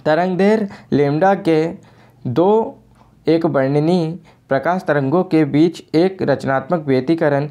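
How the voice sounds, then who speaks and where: Casting: Indian-accented coaching teacher, mid-pitched, mellow dark tone, explanatory exam read-through. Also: a little slow. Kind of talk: reading out exam questions and answers, explanatory lesson.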